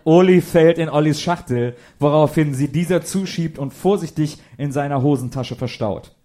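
A man's voice: fairly high pitch, moderate pace, mellow. A man giving dramatic scripted narration for an audio play.

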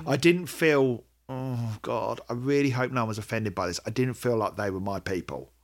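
A man's voice: mid-range voice, normal speed. A middle-aged man hosting a podcast, chatting casually and hesitantly about music fandom, often rambling and correcting himself. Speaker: podcast host.

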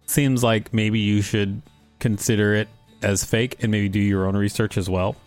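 A man co-hosting a podcast, chatting casually and reading an article aloud with wry, unhurried banter. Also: lowish voice, steady tone, a little mellow, smooth, faint music behind. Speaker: podcast co-host.

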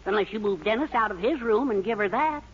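A muffled, faintly hissy vintage radio recording of a man acting as a meek husband. He protests hesitantly, with a lively swing in his pitch, in 1940s comedy style. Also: fast, natural voice. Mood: timid protest. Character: meek, henpecked middle-aged husband.

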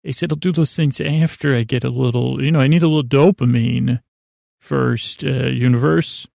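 A man hosting a bedtime podcast in a gravelly voice, meandering through digressions and interrupting himself as he goes. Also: mid-range voice, steady tone, moderate pace, darkish tone, no echo, clean studio sound. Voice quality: gravelly, creaky. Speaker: podcast host. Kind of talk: rambling bedtime monologue.

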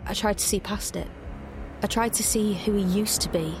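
Woman as wry young heroine telling her story, audio drama narration, mid pitch, music playing under her. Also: normal speed, lightly acted. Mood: tense, wondering.

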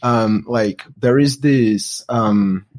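Brazilian man chatting with halting restarts. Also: lowish voice. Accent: Brazilian Portuguese. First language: Portuguese. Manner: reflective, halting, with many restarts and fillers. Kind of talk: casual conversation.

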